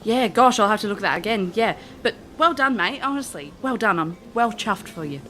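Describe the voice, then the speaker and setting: A woman's voice: medium pitch, expressive, fairly quick, a bit bright, slight background noise, natural voice. A woman playing a brash, cheeky, big-hearted elf in an audio drama, with a British accent and wry, colloquial talk. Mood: warm, flustered. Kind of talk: audio drama acting and first-person narration.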